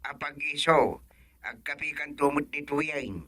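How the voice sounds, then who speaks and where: A man's voice: a little high, unhurried, fairly bright tone, breathy edge. An actor playing a plain-spoken village father-in-law in a radio comedy drama. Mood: matter-of-fact.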